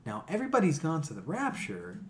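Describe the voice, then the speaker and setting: A man's voice: fairly high pitch, wildly swooping pitch, a little fast, a little hiss or hum. A man hosting a podcast in casual, opinionated chat, blunt, profane and emphatic in how he talks. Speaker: podcast host.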